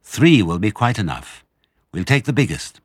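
A man's voice: on the low side, sing-song, normal speed, husky, no echo, clean studio sound. A man voicing a cunning, generous fox father in an audiobook, speaking in a theatrical, coaxing, self-assured way. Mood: delighted, generous. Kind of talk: audiobook character reading.

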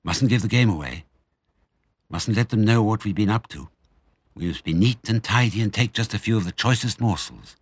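A man's voice: on the low side, normal speed, slightly rough, dry recording. A man voicing a cunning, generous fox father in an audiobook, speaking in a theatrical, coaxing, self-assured way. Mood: gleeful, commanding. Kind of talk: audiobook character reading.

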